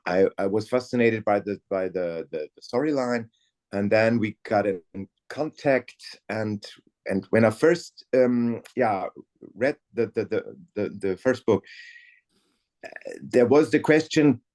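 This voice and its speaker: An older man with a fairly low voice and a lively, hesitant way of talking. He chats casually in an interview and stumbles through repeated words as he searches for what to say. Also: slow pace. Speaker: audiobook narrator.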